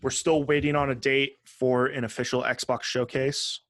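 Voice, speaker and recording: A man's voice: mid-range voice, flat delivery, normal speed, a bit bright. A man co-hosting a video game podcast, chatting casually in a blunt, opinionated way. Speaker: podcast host.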